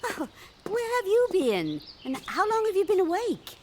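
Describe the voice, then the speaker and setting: A woman playing a kindly, fussing village dame in an audio drama, with gentle, old-fashioned formal speech. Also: very high-pitched, huge pitch swings, moderate pace, a little hiss or hum, full character voice. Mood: delighted.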